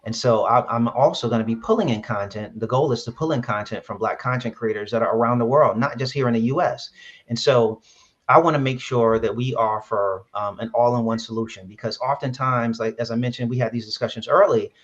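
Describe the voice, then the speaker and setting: A man's voice: mid-range voice, fairly quick. A man from Brooklyn, an app founder, answering interview questions earnestly with many fillers and restarts. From Brooklyn, New York. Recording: dull lo-fi sound.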